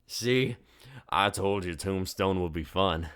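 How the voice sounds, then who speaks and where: A man's voice: sits low, slow pace, fairly bright tone, clean tone. Man doing a brash drunken college tourist. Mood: boisterous. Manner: slurred, teasing, brash. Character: cocky drunken young male college tourist.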